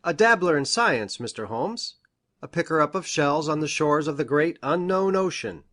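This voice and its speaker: Give this storybook figure a lively, swooping delivery from a woman putting him on. He is an eccentric man of science and medicine, pedantic and formal, pitched down low. His manner is earnest and effusive, with great care over exact wording. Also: normal speed. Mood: effusive, fascinated. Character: eager, pedantic, eccentric male country doctor and scientist. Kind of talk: audiobook character voice.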